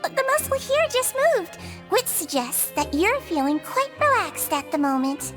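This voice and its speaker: A tiny sweet nurse creature for a game, really high voice, kind and attentive, bit of music under. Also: female voice, sing-song, a little slow, exaggerated voice. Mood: gently pleased. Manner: kindly, attentive, politely explaining. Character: small gentle caring nonhuman nurse, childlike, big-sisterly. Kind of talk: video game voice acting.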